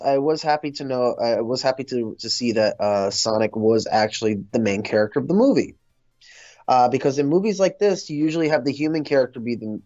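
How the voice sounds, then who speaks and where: A man hosting a casual film discussion, speaking in a hesitant, halting way. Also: mid-range voice, a little mellow, no echo, lo-fi sound.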